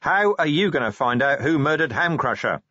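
A man voicing a gruff, combative middle-aged police commander in an audiobook, blunt and sardonic. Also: fairly high pitch, animated, normal speed, pushed and bright, no echo, dull audio, pitched above the performer's own voice. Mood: angry, forceful. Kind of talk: audiobook character acting.

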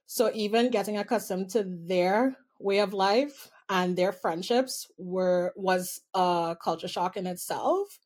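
A woman's voice: medium pitch, slow, hardly any pauses, a little mellow. Trinidadian-accented woman, interview guest, candid and amused, mid-range voice, leans on words for effect. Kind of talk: personal storytelling in an interview.

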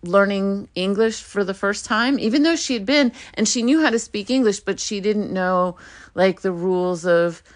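A middle-aged woman hosting her own solo podcast, talking earnestly and reflectively, getting animated when she acts out what people say. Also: mid-range voice, normal speed. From United States. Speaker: podcast host.

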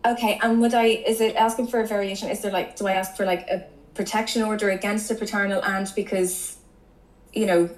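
A woman calling in to ask a family law question, speaking hesitantly with many pauses. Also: medium pitch, flat delivery. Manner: hesitant, lots of fillers. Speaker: caller. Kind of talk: asking questions on a call-in. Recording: echoey call audio.

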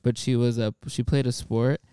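A man's voice: medium pitch, level tone, normal speed, dark tone, smooth, dry recording, clean studio sound. A young man chatting casually as a podcast co-host and comic, rambling with lots of fillers and laughing often. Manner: rambling, laughs a lot, many fillers and restarts. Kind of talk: casual chat.

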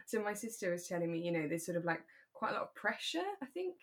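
Female co-host for a casual review podcast; lowish voice, conversational, inclined to explain. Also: sing-song, fast. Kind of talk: casual chat reviewing a radio drama.

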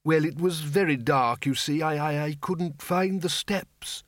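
A man voicing a mild, polite undead wizard in a storybook, with a gentle, hesitant, courteous manner. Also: a little high, measured pace, no echo, clean studio sound, voice pushed up, lightly acted. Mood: mild, apologetic.

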